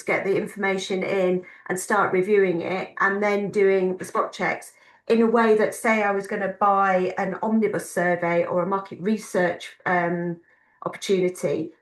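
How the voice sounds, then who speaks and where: A woman's voice: medium pitch, roomy sound, poor audio. A woman moderating a panel, putting audience questions to a colleague with many hesitations. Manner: hesitant, many ums while reading questions. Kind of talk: conversational Q&A.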